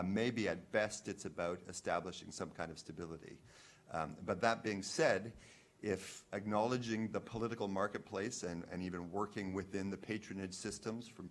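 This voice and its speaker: A man researcher speaking on a panel, measured, hedging with fillers. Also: sits low. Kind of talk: academic panel discussion.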